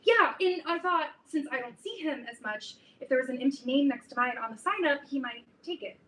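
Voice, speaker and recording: A woman's voice: high voice, expressive, fairly quick, sharp tone. A woman playing a boy-crazy, melodramatic teenage girl who rambles and stumbles, in a staged reading of a comedy. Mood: sheepish, hedging. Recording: echoey room, distant mic, background noise.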